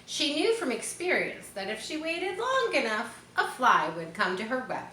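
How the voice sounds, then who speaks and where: A woman reading a children's story aloud, expressive, as if guiding young listeners through it. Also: sits high, moderate pace. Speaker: storybook reader. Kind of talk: children's read-aloud narration. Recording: roomy echo, faint background noise.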